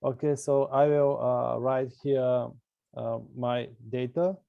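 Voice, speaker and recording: A man's voice: mid-range voice, steady tone, slow, creaky, smooth. A man from Bulgaria with a Bulgarian accent, speaking hesitantly and politely as a student on an online video call. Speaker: astrology student, session attendee. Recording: dull, lo-fi video call audio.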